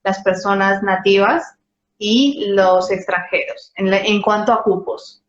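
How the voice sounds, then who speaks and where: A woman's voice: medium pitch, steady tone, a little slow. Woman host in an echoey room. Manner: brief affirming interjections. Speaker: interview host. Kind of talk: conversational interview. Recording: muffled, echoey room with faint noise.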